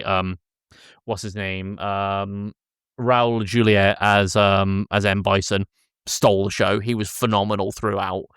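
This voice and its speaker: A man's voice: fairly low pitch, fairly bright tone, clear, resonant tone, no room sound, clean studio sound. A man hosting a podcast, casually discussing film with a pedantic, detail-minded way of talking. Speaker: podcast host.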